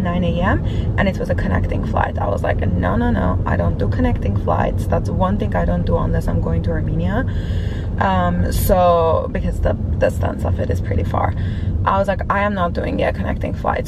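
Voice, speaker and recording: A young woman with a slightly raspy, tired voice, chatting casually to a dashboard camera in a parked car, rambling with lots of fillers. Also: lowish voice, heavy background noise. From Los Angeles area. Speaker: vlogger. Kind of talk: casual vlog chat.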